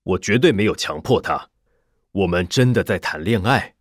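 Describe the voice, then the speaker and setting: A man voicing a smooth, self-assured male cram-school teacher who justifies himself quietly in an audiobook. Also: low voice, animated, moderate pace, no echo, studio quality. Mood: pleading. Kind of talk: audiobook character dialogue.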